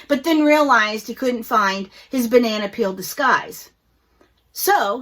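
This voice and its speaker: A woman hosting a children's storytime, reading aloud in an expressive, deliberate storytelling way, with music behind her. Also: fairly high pitch. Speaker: host and story reader. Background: background music.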